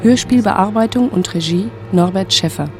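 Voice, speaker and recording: A woman announcing the credits of a radio drama in a slow, formal manner. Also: medium pitch. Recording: music bed underneath.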